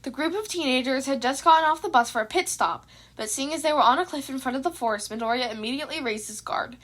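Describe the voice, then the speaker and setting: A woman's voice: high voice, quick pace, fairly bright tone. A woman reading a scripted piece of fan fiction aloud as a narrator. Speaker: podfic narrator.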